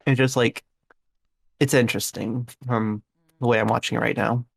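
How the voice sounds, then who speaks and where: Female podcast co-host, extremely low voice, dry close mic, rambling, says "like" a lot. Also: steady tone, normal speed, vocal fry, slightly rough, dry recording. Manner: rambling, heavy use of "like". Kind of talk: casual anime discussion.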